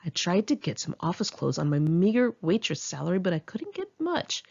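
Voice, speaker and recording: A woman's voice: fairly low pitch, big pitch swings, brisk, gravelly, darkish tone, dull audio. A woman reading her own memoir aloud in an informal audiobook style, candid, wry and conversational. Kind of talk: informal first-person audiobook narration with asides.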